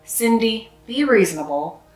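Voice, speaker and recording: A woman's voice: a little high, animated, measured pace, soft background music. A woman voicing a stern, exasperated grown-up scolding someone in a storybook. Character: scolding, reasonable-sounding grown-ups confronting Cindy. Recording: roomy, echoing sound.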